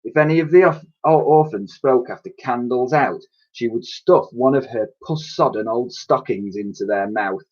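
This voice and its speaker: A man in his thirties reading a children's storybook aloud in an expressive, explanatory way, like a teacher to pupils. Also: medium pitch, moderate pace, hardly any pauses. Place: small attic room with sloped ceiling, indoors. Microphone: webcam or laptop mic at arm's length. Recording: dull, lo-fi webcam sound.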